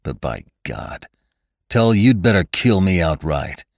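A man's voice: sits low, sing-song, takes it slowly, no echo, clean studio sound, full character voice. A man voicing a proud, passionate young male cowboy rider who answers with taunting defiance. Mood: defiant.

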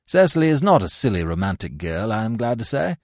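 A man playing a fussy young gentleman who talks in an irritable, flustered way. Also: on the low side, big pitch swings, brisk, dry recording, studio quality. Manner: peevish, flustered.

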